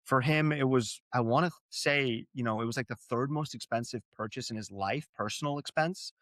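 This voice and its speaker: A young man from Arizona, a native Gujarati speaker, tells his personal story as an interview guest. He talks earnestly and reflectively, often repeating words while he gathers his thoughts. His voice sits at a middle pitch, and the recording is dry and close. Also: normal speed, a bit bright, no echo. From Arizona, USA. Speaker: company co-founder, guest.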